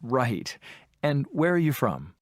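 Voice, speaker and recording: An adult voice playing an interviewer in a scripted textbook dialogue, asking friendly questions with measured delivery. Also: medium pitch, big pitch swings, dry recording, clean studio sound. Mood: plain.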